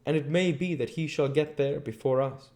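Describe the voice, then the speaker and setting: Guy doing an eager gun-loving hunter from a storybook, pitched way up, keen n forthright. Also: normal speed, a little mellow, lightly acted. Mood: keen, confident. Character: eager male hunter, practical, fond of guns. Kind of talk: dramatic audiobook character reading.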